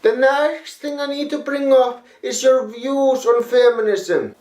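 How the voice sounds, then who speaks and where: A man's voice: very high voice, a little slow, darkish tone, roomy sound. A man reading a prepared critique in a blunt, profane, lecturing manner. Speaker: video critic. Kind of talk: reading a prepared response video.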